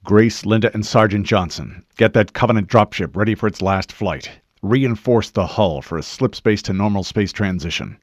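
Man voicing a deep, stoic soldier commander. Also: lively intonation, darkish tone, no room sound, clean studio sound. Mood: commanding. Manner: terse, measured, commanding. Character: calm male supersoldier commander, stoic, authoritative. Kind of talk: audiobook character voice.

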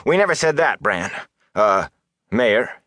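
A man's voice: lowish voice, sing-song, takes it slowly, a bit bright, no echo, voice pushed up, exaggerated voice. A man voicing a rowdy, easily cowed village man in an audiobook, in a blustering manner. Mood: nervous backtracking. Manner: roaring, blustering.

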